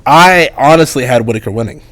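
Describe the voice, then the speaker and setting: A man hosting a casual sports podcast, talking through a hoarse voice in a loose, rambling way with lots of fillers. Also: mid-range voice, animated, quick pace, a bit bright. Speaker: podcast host.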